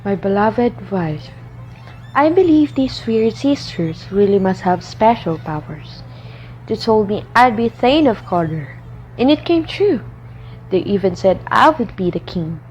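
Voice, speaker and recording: A woman's voice: mid-range voice, expressive, a little slow, darkish tone, natural voice. A noble lady reads her husband's letter aloud with earnest wonder, voiced by a young woman. The recording sounds dull and lo-fi, with faint music behind her. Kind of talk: student radio drama acting.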